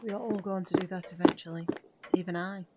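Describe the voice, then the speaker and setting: A formal, composed witch acted by a woman in an audio drama. She speaks in a lowish, very even voice, measured throughout, with faint noise behind. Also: dull audio, natural voice. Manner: measured, formal, avoids contractions. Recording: faint background noise and ambience.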